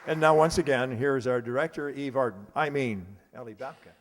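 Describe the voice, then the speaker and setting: A man's voice: mid-range voice, fairly quick. An American man working as a stage announcer, formally presenting a cast with the odd stumble and self-correction. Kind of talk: live curtain-call cast introductions. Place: live stage performance in a hall. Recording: echoey hall, audience noise, clicks.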